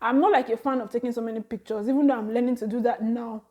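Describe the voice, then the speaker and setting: Nigerian woman, 30s, high voice, chatty vlogger who laughs a lot and exclaims. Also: steady tone, fast, dark tone, muffled recording, slight background noise. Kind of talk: casual talk to camera.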